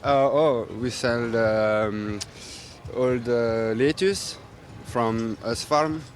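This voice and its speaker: A man's voice: medium pitch, extremely slow. A male market stallholder who is not a native English speaker, searching for his words outdoors.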